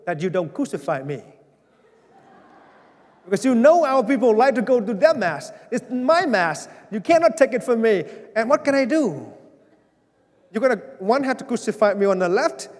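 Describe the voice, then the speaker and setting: A man with a Vietnamese accent, a parish pastor addressing his congregation at a town hall, earnest and wry, pleading with gentle humor. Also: sits high. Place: large church hall. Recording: long reverb of a church.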